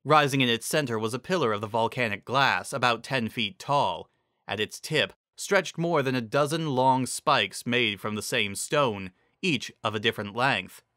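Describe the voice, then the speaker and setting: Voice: medium pitch, normal speed, bright, forceful tone, dry recording, studio quality. A narrator giving a dramatic scripted reading of a fantasy story. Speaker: narrator reading fan fiction.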